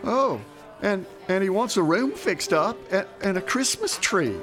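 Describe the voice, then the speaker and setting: An actor playing an excitable, simple frontier townsman in a radio drama, with a halting, naive way of talking. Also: high voice, soft background music. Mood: excited.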